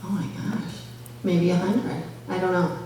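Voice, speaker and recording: A woman's voice: lowish voice, fairly even intonation, measured pace, a loud crowd. A woman, a school principal, presenting at a public meeting in an informal, explanatory way. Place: large echoey meeting room. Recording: distant mic, very echoey room, faint noise.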